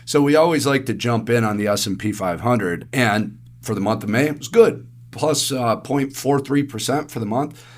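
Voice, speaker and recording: A man with a raspy, allergy-hoarse voice, chatting through monthly market results on a podcast with everyday analogies. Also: medium pitch, normal speed. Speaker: investment advisor co-host. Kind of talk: casual podcast market commentary.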